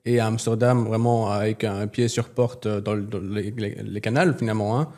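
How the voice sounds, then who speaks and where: A man speaking French as a second language, with a warm, mellow voice. He answers interview questions as a company representative, halting now and then while he searches for the right word. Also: fairly low pitch, steady tone. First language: not French. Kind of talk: business interview.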